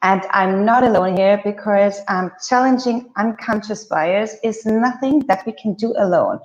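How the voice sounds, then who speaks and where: A woman's voice: mid-range voice, unhurried. A woman from Austria hosting a live-stream discussion, welcoming guests in an upbeat way with occasional fillers. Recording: echoey, dull video-call audio.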